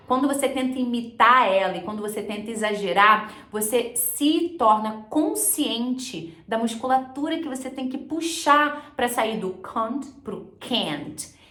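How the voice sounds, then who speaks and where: A woman's voice: on the high side, fairly bright tone, reverberant. A Brazilian woman giving a conversational, explanatory language lesson, mixing English terms into her speech as she instructs. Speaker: English teacher and video host.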